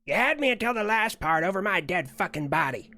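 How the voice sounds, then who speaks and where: Satirical skit role, acted out: brash America personified, forceful, blunt, scornful, foul-mouthed. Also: medium pitch, very animated, a little fast. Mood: indignant.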